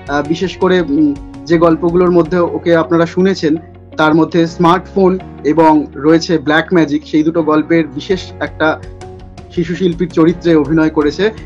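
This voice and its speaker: A man hosting a playful quick-fire question segment in a chatty interview style. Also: a little high, dull audio.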